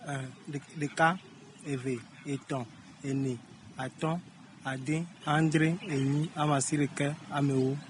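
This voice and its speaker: A man answering a street interview calmly and steadily. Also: on the high side, unhurried. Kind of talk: vox pop answer. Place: street outdoors. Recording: noisy outdoor street recording.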